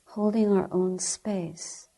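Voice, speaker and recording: A woman teaching guided meditation in a soft, breathy, gentle way, speaking in short deliberate phrases with long pauses. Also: medium pitch, steady tone. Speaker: Buddhist nun, meditation teacher.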